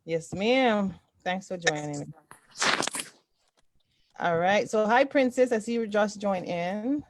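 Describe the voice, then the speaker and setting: A woman's voice: mid-range voice, slow, background noise. A woman from Toronto, Canada, chatting casually as host of an online sewing group, often repeating short affirmations. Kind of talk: casual livestream chat. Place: indoors, on a group video call.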